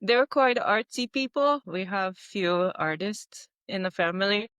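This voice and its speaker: Woman from Japan, hesitant speech, lots of fillers, searching for words, mid-pitched, dry close sound. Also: expressive, unhurried, fairly bright tone, clear, resonant tone, no echo. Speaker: guest, veterinary dermatologist. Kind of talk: casual interview conversation.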